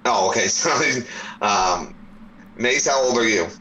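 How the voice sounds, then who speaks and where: A man's voice: lowish voice, unhurried, pushed and bright, slight background noise. A man in his forties in a casual debate chat, explaining his points at length. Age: 40s. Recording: roomy echo.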